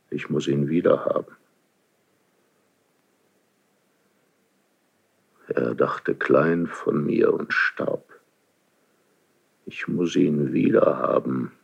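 An actor playing a proud, embittered ageing king in a classical German verse radio drama, slow and halting with a gravelly, husky voice. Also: very deep voice, full character voice. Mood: brooding grief. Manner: slow, halting, brooding, theatrical declamation. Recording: slightly dull archival radio drama recording.

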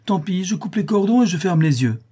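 Man putting on storybook male prankster, calling from upstairs, piercing, raised pitch, pressing, hurried. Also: lively intonation, dark tone, husky. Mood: urgent, pressing.

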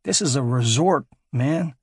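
A man's voice: medium pitch, lively intonation, a little slow, a little mellow, husky, dry recording, clean studio sound. A man voicing a burly, crude American ex-marine security contractor with an American accent. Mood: brash. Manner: crude, swaggering, profane.

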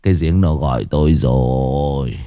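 A man voicing a gaunt, haunted old man who is half out of his mind, muttering low and slow. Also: mellow, dry recording, clean studio sound. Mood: haunted dread.

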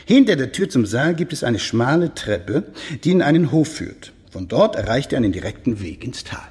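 An actor playing a courteous, sly alchemist lord in a German radio drama, explaining his marvels formally with a husky voice. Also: mid-range voice, sing-song, moderate pace, darkish tone. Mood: obliging. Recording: long reverberant stone-hall echo.

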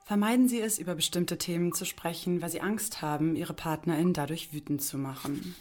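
Actress as very low-voiced composed therapist. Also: female voice, darkish tone, a little hiss or hum, natural voice. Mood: neutral, measured. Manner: measured, clinical. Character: composed professional female therapist reading screening questions. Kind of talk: reading a questionnaire aloud.

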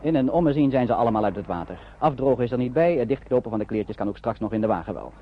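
A man narrating a wartime radio play in a measured, literary storytelling manner. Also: mid-range voice, expressive, close to an everyday voice. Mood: narrative, suspenseful. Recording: muffled old radio drama recording.

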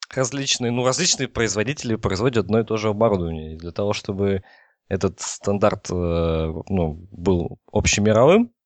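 A man's voice: fairly low pitch, dry recording. A man explaining things in an informal technical discussion, feeling his way through and checking that listeners follow. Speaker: podcast guest explaining telecom technology.

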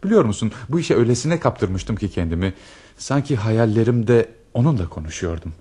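An actor playing an earnest, lovestruck married army lieutenant in a Turkish radio play, confiding and dramatic. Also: male voice, on the low side, expressive, a little fast, mellow, breathy edge. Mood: passionate, confiding. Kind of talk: Turkish radio theatre acting.

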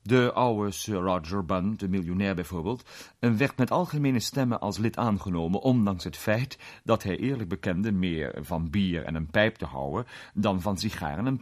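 An actor playing an urbane male club member who narrates a radio play with dry humour. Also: lowish voice.

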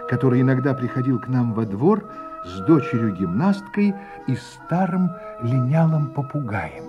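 A man's voice: medium pitch, very animated, moderate pace, muffled recording. A man narrating a memoir radio play in a reflective storytelling manner. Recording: radio play with faint music.

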